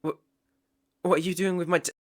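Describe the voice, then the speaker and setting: A man's voice: high-pitched, flat delivery, a little fast, no room sound, studio quality, lightly acted. A man with a British accent playing a devoted, proper butler in an intimate ASMR romance roleplay, polite, deferential and hesitant. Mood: flustered, nervous. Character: young male butler, devoted, proper, flustered by love.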